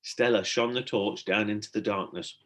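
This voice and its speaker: A man reading a children's adventure story aloud as a teacher would, in expressive storybook narration. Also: on the low side, normal speed.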